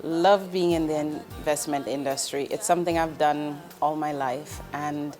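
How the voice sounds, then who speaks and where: A woman's voice: deep voice, steady tone, a little slow, darkish tone, soft background music. A woman in her forties, from Jamaica, speaking as an investment executive in an interview, earnest and direct, with ums and restarts. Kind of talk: candid interview answers.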